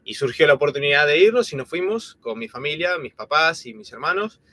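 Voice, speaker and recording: A man in his thirties from Argentina, his Argentine Spanish accent softened by years in Spain, chatting casually as co-host of a live stream with many fillers and restarts. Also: on the high side, animated, a little fast. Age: 30s.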